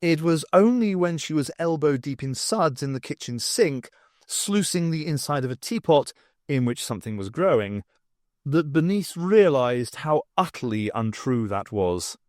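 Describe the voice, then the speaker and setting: A man reading scripted fiction as an expressive audiobook narrator. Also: a little high, normal speed, no echo, clean studio sound.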